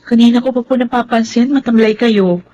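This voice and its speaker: A woman's voice: on the high side, brisk, dark tone, lo-fi sound, lightly acted. A woman playing a caring, respectful female office assistant in a Filipino radio drama. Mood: concerned. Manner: respectful, solicitous.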